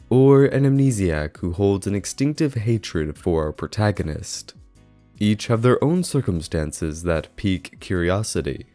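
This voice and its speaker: A man reading scripted video essay narration in a measured, formal manner. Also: fairly low pitch, mellow. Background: background music.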